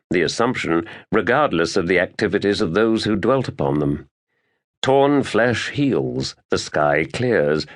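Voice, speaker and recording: A man narrating an epic fantasy audiobook in a grave, measured, dramatic storytelling manner. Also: sits low.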